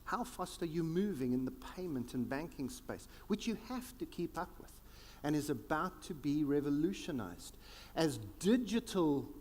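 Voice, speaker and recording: South African male keynote speaker, emphatic and theatrical, slightly high voice, large reverberant hall. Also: darkish tone. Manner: dramatic pauses, sweeping emphatic delivery. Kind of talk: conference keynote talk.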